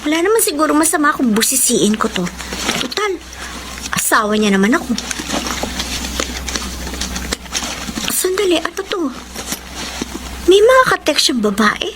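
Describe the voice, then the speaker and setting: A woman's voice: high voice, very animated, normal speed, pauses often, noisy background. An actress playing a jealous, long-suffering, combative girlfriend in a Filipino radio drama, emotional and scolding. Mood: suspicious muttering.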